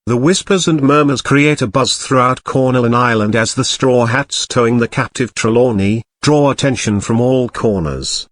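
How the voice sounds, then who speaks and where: A man's voice: mid-range voice, moderate pace, few pauses, fairly bright tone, no echo. A man narrating an audio drama in a scripted, present-tense storytelling style.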